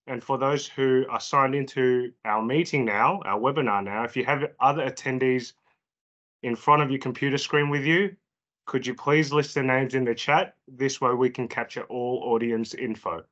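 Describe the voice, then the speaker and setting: A man's voice: medium pitch, normal speed. Guy opening a webinar, reading housekeeping bits politely, muffled call sound. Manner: reads housekeeping notes in an even, polite way. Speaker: webinar host. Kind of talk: scripted webinar introduction. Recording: dull lo-fi video call audio.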